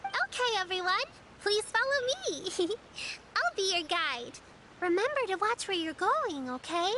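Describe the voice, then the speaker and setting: A woman's voice: very high-pitched, sing-song, measured pace, pushed and bright, a little hiss or hum, heavily acted. A woman voicing a polite, helpful, precocious little girl in a video game, speaking earnestly. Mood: helpful, cheerful.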